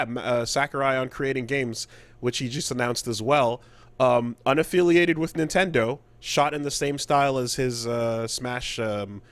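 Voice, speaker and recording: A man co-hosting a casual podcast about video games, speaking haltingly and repeating words mid-thought. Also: mid-range voice. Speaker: podcast co-host.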